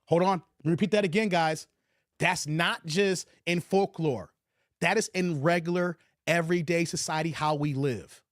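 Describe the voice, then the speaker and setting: A man's voice: a little high, normal speed, no room sound, studio quality. An American man giving opinionated livestream commentary, emphatic, repeating his points and posing rhetorical questions. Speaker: commentator hosting his own channel.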